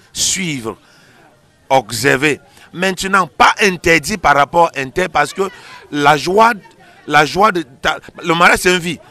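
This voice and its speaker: A man's voice: a little high, sharp tone. A man speaking as a pastor in an interview, explaining in an emphatic, teacherly way and repeating phrases for stress. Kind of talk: explanatory interview answers on a religious show.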